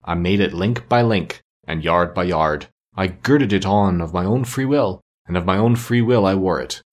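A tormented male ghost from a classic storybook, acted out by a male narrator. The voice is husky and rough, and it declaims with grave, mournful solemnity, like a dead man of business weighed down by regret. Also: sits low, normal speed. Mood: ominous.

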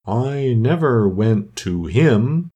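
A man voicing a melancholy old Mock Turtle from a storybook, solemn and self-pitying, with slow, drawn-out speech. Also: lowish voice, big pitch swings, a little mellow, highs cut off, full character voice. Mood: sighing, solemn. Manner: slow, drawn-out, mournful delivery with heavy pauses.

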